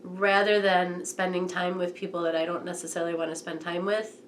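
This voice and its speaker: A mother speaking in an interview in an earnest, measured way. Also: on the low side, flat delivery, slight background noise. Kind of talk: reflective interview.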